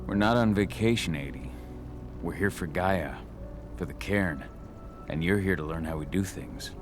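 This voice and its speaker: A man plays a battle-hardened werewolf father in a game cutscene. His voice is extremely deep and gravelly, calm and reassuring in delivery. Music plays clearly behind him. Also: moderate pace, natural voice. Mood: steady, reassuring. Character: gruff adult male werewolf warrior, steady protective father. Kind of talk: video game cutscene acting.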